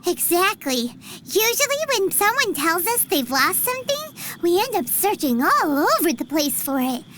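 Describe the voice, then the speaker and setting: A woman's voice: extremely high pitch, sing-song, moderate pace, background noise. A woman voicing a tiny, chirpy, childlike fairy companion in a video game, with music behind her. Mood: cheerful, chatty.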